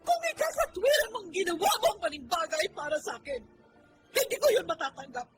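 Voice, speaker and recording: A woman's voice: very high voice, big pitch swings, a little fast. A woman playing an anguished, upright mother in a Filipino radio drama, with a Filipino accent. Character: anguished, upright mother scolding her child.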